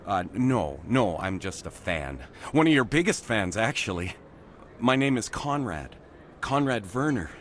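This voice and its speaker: Nervous, animated starstruck fan. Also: male voice, fairly low pitch, a little slow, a little hiss or hum. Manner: hesitant, gushing, stammering. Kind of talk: video game voice acting.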